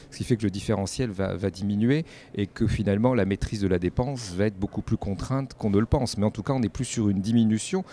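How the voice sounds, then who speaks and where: A man giving measured, explanatory spontaneous answers as a local politician in an interview. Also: fairly low pitch, dark tone, a little hiss or hum. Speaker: mayor and head of a local authority, interviewee.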